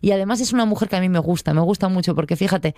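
Chatty Spanish woman with a clear voice. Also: lowish voice, rapid-fire, warm tone, dry recording, studio quality. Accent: Spain. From Spain. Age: middle-aged. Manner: chatty, informal, digressive. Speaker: book segment contributor on a show. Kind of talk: conversational book review.

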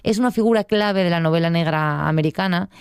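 A woman's voice: fairly low pitch, quick pace, smooth, no echo, studio quality. A middle-aged woman with an accent from Spain, talking informally and digressively about books as a show contributor. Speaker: book segment contributor on a show. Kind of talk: conversational book review.

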